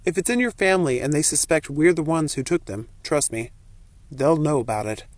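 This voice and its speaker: Woman voicing a low, cautious young man. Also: lively intonation, brisk, darkish tone, no echo. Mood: cautious, businesslike. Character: cautious, practical young man posing as a husband.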